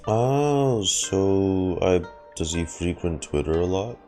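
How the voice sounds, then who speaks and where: A man giving casual live reaction commentary as a game streamer, playful and a little halting. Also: sits low, expressive, darkish tone. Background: game music.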